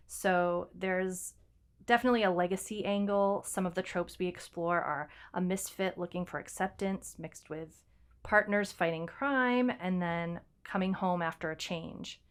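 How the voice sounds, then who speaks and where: A woman co-hosting a podcast, talking casually and explaining things in an informal way. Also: fairly low pitch. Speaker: podcast co-host and fiction writer.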